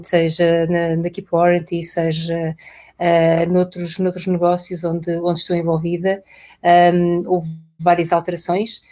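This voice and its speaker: A Portuguese woman with a European Portuguese accent answers questions over a video call. Her voice sits low and stays level, with little rise or fall. She talks haltingly, filling gaps and repeating words as she finds them. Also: a little mellow. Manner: hesitant, many fillers and repeated words. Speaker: company CEO, guest. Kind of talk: live online interview.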